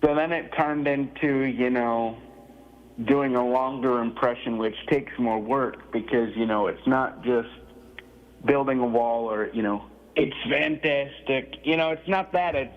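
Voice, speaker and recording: A man calling in to a radio show, chatty and laughing often, his voice a little gravelly today. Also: mid-range voice, measured pace, narrow, tinny audio. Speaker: radio guest, impressionist. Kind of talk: casual phone chat. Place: remote call from Florida.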